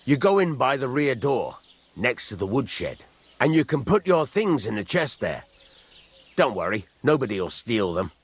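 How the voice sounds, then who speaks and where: An actor playing a gruff middle-aged village blacksmith in a video game, husky, blunt and rustic, giving plain instruction. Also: male voice, mid-range voice, sing-song, measured pace. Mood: matter-of-fact. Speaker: master blacksmith. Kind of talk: video game character acting.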